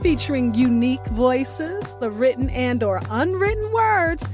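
A woman hosting a radio show, reading a scripted introduction with theatrical, deliberate emphasis. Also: high-pitched, animated. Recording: voice over music bed.

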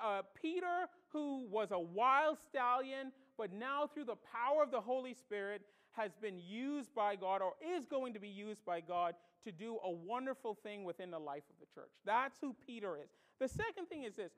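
A woman from the Bahamas delivers a sermon with a high, bright voice. She preaches emphatically and with lots of animation, leaning on rhetorical questions to drive each point home.

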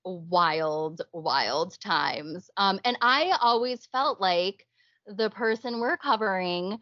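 A woman's voice: mid-range voice, animated, takes it slowly, pushed and bright, no room sound, muffled recording. A young woman co-hosting a podcast in casual chat, chatty and laughing often. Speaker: podcast co-host.

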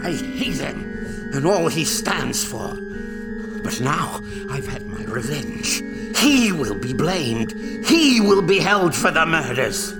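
A man's voice: on the high side, sing-song, slow, loud music behind the voice, heavily acted, low-quality recording. An actor playing a gloating, vengeful madman in an old-time radio thriller, with a menacing, theatrical delivery. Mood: bitter defiance. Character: gloating vengeful madman villain, a fanatical torturer and murderer.

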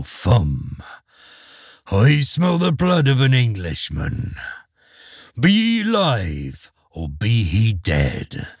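A man voicing a huge, hungry, wicked ogre with a low rumbling voice. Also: darkish tone, breathy edge, no room sound, clean studio sound, exaggerated voice.

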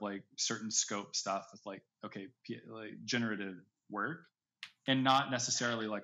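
A man's voice: mid-range voice, a bit bright. Man in casual interview, slightly dull audio. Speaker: podcast guest, audio drama creator.

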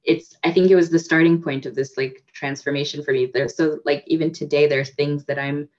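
Woman, very low voice, reflective, lots of fillers, muffled lo-fi sound. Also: fairly even intonation, fairly quick. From United States. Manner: reflective, with frequent fillers and restarts. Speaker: guest. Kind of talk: casual interview. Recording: dull lo-fi audio.

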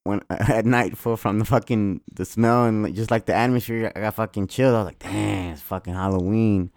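A man's voice: fairly low pitch, a little fast, no room sound, studio quality. Swearing, rambling male podcast host. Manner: profane, excitable, rambling. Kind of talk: casual chat.

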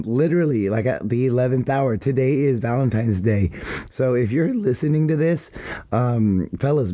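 A man hosting a casual solo podcast, rambling with hype-man banter and a slightly hoarse voice after a wild weekend. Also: mid-range voice, dark tone. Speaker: podcast host.

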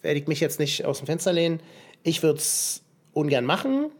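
A man's voice: a little high, sing-song, moderate pace. A young man co-hosting a podcast in casual chat, hesitant, with many fillers and restarts. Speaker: podcast co-host.

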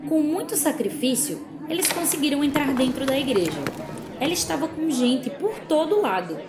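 A woman's voice: sits high, lively intonation, moderate pace. A woman acting as the narrator of a radio soap opera, telling the story in a theatrical, suspenseful way. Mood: expectant. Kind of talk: scripted radio drama narration. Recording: loud music and crowd noise behind.

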